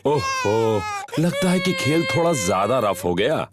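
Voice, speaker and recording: A man narrating a children's cartoon in an expressive, scripted storytelling style. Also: medium pitch, a little slow, very noisy.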